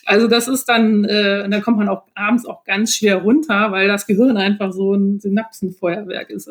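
Woman, mid-pitched voice, casual interview guest, explanatory, hesitant, often laughing. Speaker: podcast guest, author.

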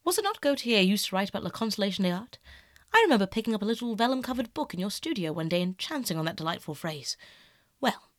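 Female reader plays a vain young aristocrat: airy, callous, highly animated, theatrical, recorded dry. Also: quick pace, dry recording, lightly acted. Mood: blithe, self-absorbed. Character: vain, callous young aristocratic man, dramatic and self-absorbed. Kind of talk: audiobook character acting.

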